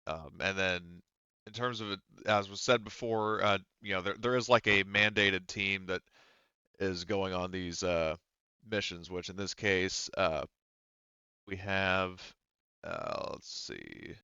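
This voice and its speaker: A man with a deep, bright, smooth voice runs a tabletop game as game master. He talks casually and haltingly, feeling his way through the details. The sound is close and dry, if slightly muffled. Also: expressive, dry recording. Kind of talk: narrating an online tabletop game.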